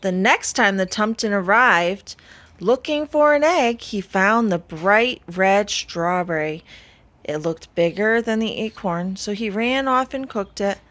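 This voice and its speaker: A woman reading a children's story aloud, expressively, with deliberate dramatic pauses. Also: medium pitch, fairly bright tone. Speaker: storybook reader.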